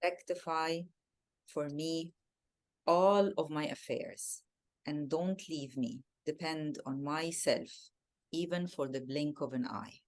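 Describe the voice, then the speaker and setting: Soft-spoken woman with an Egyptian accent. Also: low voice, slow pace. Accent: Egyptian Arabic. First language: Arabic. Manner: soft-spoken, searching for words, repeats herself. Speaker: guest. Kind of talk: reflective interview answers.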